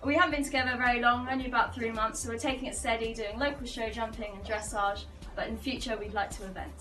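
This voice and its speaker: An eighteen-year-old woman introducing herself to camera, quick and cheerful. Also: on the high side, steady tone, echoey room, recorded from a distance, music in the background, low-quality recording. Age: teens. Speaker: contestant.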